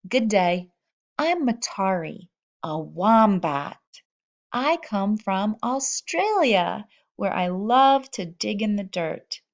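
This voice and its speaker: A woman voicing a cheerful, proud young wombat in a picture book, with a hearty, deliberate delivery. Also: mid-range voice, very animated, dull audio.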